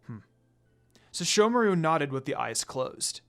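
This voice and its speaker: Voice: mid-range voice, sing-song, moderate pace, faint music behind. A narrator reading a scripted fan fiction story aloud.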